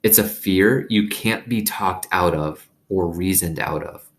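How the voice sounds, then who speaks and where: A mild, thoughtful preacher dad with a low voice, played by a man reading a storybook. He speaks in a measured way, as if patiently explaining. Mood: calm, reassuring. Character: girl's father, a mild, thoughtful adult preacher.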